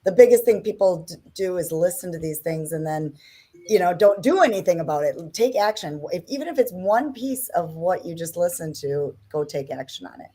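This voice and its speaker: A woman's voice: lowish voice, expressive, brisk, warm tone. A woman appearing as a podcast guest, speaking with enthusiasm and encouragement in a casual chat.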